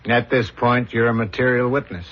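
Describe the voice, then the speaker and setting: An actor playing a stern homicide inspector, businesslike and probing, in a 1970s radio drama. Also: male voice, mid-range voice, fairly even intonation, normal speed, natural voice. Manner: businesslike, interrogating. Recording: dull, lo-fi old radio recording.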